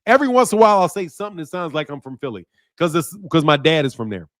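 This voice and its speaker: A man hosting a casual boxing livestream, talking in lively slang and reading viewer chat. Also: fairly high pitch, racing. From Chicago area. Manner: animated, slangy, loud outbursts and laughter. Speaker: boxing channel host. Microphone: streaming mic.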